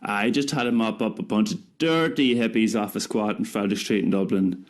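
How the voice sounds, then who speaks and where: Man acting a cocky, low-voiced hired gun. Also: brisk, close to an everyday voice. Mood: cocky banter. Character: cocky, crude mercenary gun for hire. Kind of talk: radio play acting.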